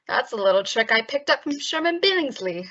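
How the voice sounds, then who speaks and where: A woman's voice: high-pitched, fairly bright tone, exaggerated voice. A woman playing a smug, menacing male nightclub gangster boss in old-time radio style, mock-polite and taunting. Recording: dull lo-fi audio.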